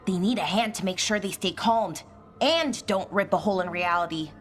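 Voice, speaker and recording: A woman's voice: mid-range voice, normal speed, soft background music, natural voice. An actress playing a friendly, eager and slightly awkward female mage scholar in a video game, chatty in manner. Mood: brisk, friendly. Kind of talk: video game cutscene acting.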